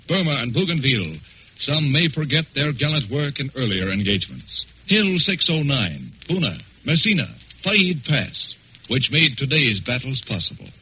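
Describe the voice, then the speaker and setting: American man, dramatic 1940s news narrator, lively intonation, muffled old recording. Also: fairly high pitch. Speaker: radio program announcer. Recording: dull, noisy 1940s radio recording.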